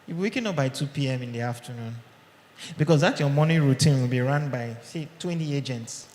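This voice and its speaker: A man's voice: medium pitch, expressive, brisk, darkish tone. Man presenting in an echoey hall. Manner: conversational, checks in with "okay?" often. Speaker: tech conference speaker. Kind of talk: live presentation to an audience. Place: large hall. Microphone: handheld or podium mic. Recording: echoey hall with long reverb.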